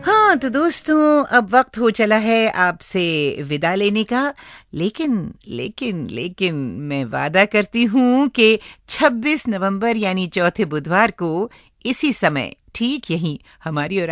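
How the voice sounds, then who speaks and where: A woman with an Indian accent presenting a scripted radio show, addressing listeners in a deliberate way as friends. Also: medium pitch, very animated, fairly bright tone, no echo, clean studio sound. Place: studio.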